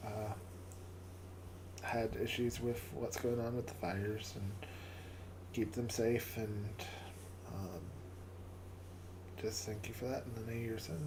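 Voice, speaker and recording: A man with a raspy, hoarse voice hosting a casual live stream and closing with a prayer, often stumbling and repeating words. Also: fairly low pitch, noisy background. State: hoarse from coughing. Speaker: livestream host.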